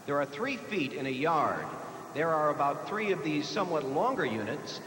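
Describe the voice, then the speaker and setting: A man's voice: on the high side, big pitch swings, normal speed, fairly bright tone. An American man hosting a live quiz show, reading out questions and ruling on answers. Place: large echoey hall, live televised competition. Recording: noisy, echoey 1980s TV broadcast in large hall.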